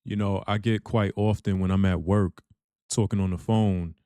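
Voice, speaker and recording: A man with a deep voice hosting a reaction channel, chatting casually and filling his talk with "you know what I'm saying.". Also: steady tone, normal speed, dark tone, clear, resonant tone, dry recording.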